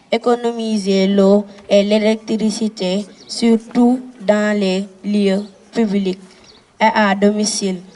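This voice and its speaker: A woman making a formal address to pupils, declaiming slowly and evenly. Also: mid-range voice, mellow.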